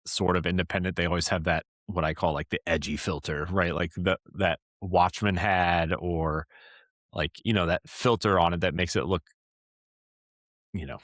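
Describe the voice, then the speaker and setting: A man with a low voice talks casually as a podcast host. His delivery rambles and he keeps checking in with the listener. The sound is close and dry, with no room echo. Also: steady tone, normal speed, a bit bright.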